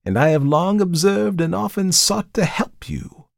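A man voicing an uncanny devilish visitor who speaks politely, calmly and with kindly severity in a storybook reading. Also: sits high, vocal fry, dry recording, clean studio sound, voice pushed up. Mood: courteous, calm. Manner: polite, measured, pleasant and unhurried. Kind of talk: dramatized literary storybook reading.

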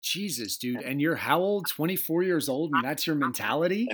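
Male podcast host on a video call, slightly high voice, loose and profane. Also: lively intonation, moderate pace, a bit bright, husky. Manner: laid-back, swears freely, laughs often. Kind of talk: casual interview chat. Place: home in Delaware.

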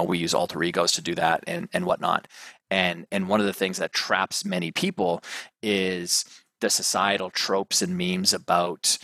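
Man, 30s, deep and forceful, dry close sound, rambling guest answers. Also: vocal fry, no room sound. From Canada. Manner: rambling, thinks aloud with restarts. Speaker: guest, mental performance coach. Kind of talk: casual interview chat.

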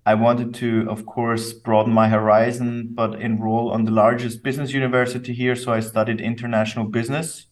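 Young austrian guy, grew up with english and german, talks it through slow and careful, sounds a bit far from the mic, medium pitch. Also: little pitch movement. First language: German and English, bilingual. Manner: thoughtful, measured, with fillers and restarts. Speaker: company co-founder, guest. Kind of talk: casual interview answers.

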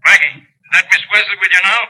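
An actor playing a blustering, threatening police detective, gruff and irritable over the telephone in 1940s radio drama. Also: male voice, high voice, fairly even intonation, fast talker, sharp tone, exaggerated voice, poor audio.

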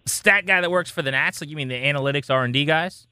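A man's voice: on the high side, fast, pushed and bright, clear, resonant tone, dry recording, studio quality. A man co-hosting a sports radio show, putting questions to a guest in casual, conversational language. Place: close dry radio studio.

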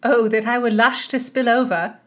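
A woman voicing a spirited young maid of honour with a bright, wry manner in an audiobook. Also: a little high, level tone, normal speed, pitched above the performer's own voice, close to an everyday voice.

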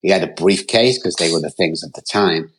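A middle-aged man from Manchester speaks with a husky, slightly low voice. He tells his story as a podcast guest, open and earnest, drifting from one memory to the next. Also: fairly quick, a bit bright. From England.

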